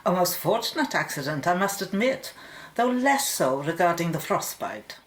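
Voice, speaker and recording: A watchful scientist played by a woman speaks from within an echoing room. The radio drama delivery is expressive in pitch, with calm concern. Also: normal speed. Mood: impressed. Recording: echoey, slightly distant sound.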